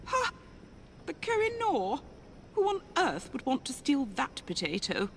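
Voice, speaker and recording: A woman's voice: sits high, wildly swooping pitch, unhurried, vocal fry, a bit bright, a little hiss or hum, heavily acted. A woman playing a grand, amused Victorian aristocratic lady with an upper-class British accent in a video game cutscene. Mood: haughty amusement.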